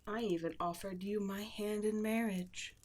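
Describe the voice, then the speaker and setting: A woman playing a seductive, condescending demon queen in a scripted ASMR roleplay, gloating at the listener and dropping at times into breathy whispers. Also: mid-range voice, steady tone, a little mellow, natural voice. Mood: wounded, offended. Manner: slow, taunting, condescending, drops into breathy whispers.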